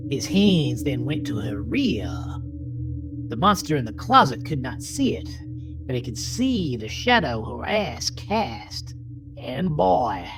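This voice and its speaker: A woman narrating a horror story in a deliberate, theatrical manner with dramatic pauses. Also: rumbling low, rough recording. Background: music bed.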